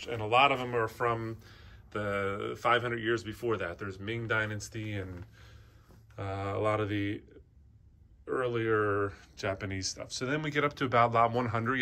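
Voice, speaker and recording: A man gives an unscripted walkthrough as a presenter, pointing things out in short, halting phrases as he moves around indoors. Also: lowish voice, sharp tone. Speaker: auction house presenter. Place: indoor antiques showroom, walking around.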